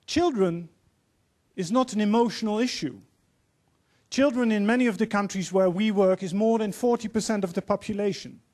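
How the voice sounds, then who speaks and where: A man in his fifties with a Dutch accent, giving an earnest, measured opening speech as panel moderator in a large auditorium, holding a handheld mic. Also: high voice, a little mellow. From Netherlands. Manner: earnest, measured, quoting speakers.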